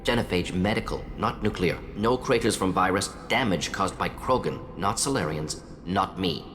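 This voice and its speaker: A man's voice: sits low, moderate pace, a bit bright. A man acting as a brilliant, defensive and remorseful alien scientist in a video game, speaking in clipped, analytical fragments that drop small words. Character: fast-thinking alien scientist doctor, brilliant, defensive, remorseful male Salarian. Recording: long reverb with music underneath.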